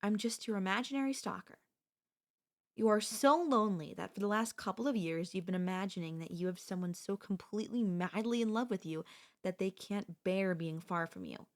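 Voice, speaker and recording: A woman's voice: mid-range voice, normal speed, close to an everyday voice. Woman acting a lovestruck, obsessive yandere stalker woman. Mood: concerned, explaining. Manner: theatrical, coaxing, addresses listener as darling. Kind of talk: ASMR audio roleplay, girlfriend-style monologue.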